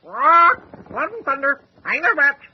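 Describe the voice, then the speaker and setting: A performer voicing a squawking parrot that shouts oaths in a shrill voice for a radio play. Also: big pitch swings, unhurried, muffled recording. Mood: shrill alarm.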